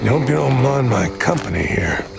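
An actor playing a menacing, taunting older Western outlaw boss in a video game, with a gravelly, drawling voice. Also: male voice, mid-range voice, animated, moderate pace. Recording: loud music behind.

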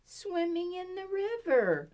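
A woman voicing a friendly, eager little male lion cub in a children's picture book, raising her voice to sound bright and hopeful. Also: extremely high pitch, very animated, slow pace, voice pushed up, exaggerated voice.

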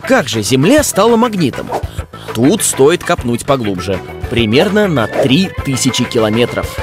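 A man's voice: medium pitch, sing-song, moderate pace, music in the background, lightly acted. A man playing a playful science explainer, narrating an educational children's cartoon in a didactic, scripted way. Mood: explanatory, playful.

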